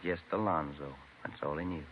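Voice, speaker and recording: An American actor playing an easygoing police detective in an old radio drama, with a folksy, understated delivery. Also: male voice, a bass voice, moderate pace. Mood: calm. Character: easygoing male police detective, the sergeant's partner. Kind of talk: 1940s-50s radio drama acting. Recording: tinny noisy vintage radio recording.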